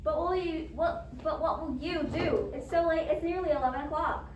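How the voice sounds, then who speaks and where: An anxious, self-absorbed invalid wife in a suspense play, acted by a woman, speaks in a high voice. She is fretful and insistent, stumbling over her words. The recording is roomy and echoing, with some noise behind her. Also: normal speed, natural voice. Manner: agitated, stammering, insistent. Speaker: amateur drama club actor. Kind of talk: stage adaptation of a radio suspense play. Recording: roomy echo, distant mic, background noise.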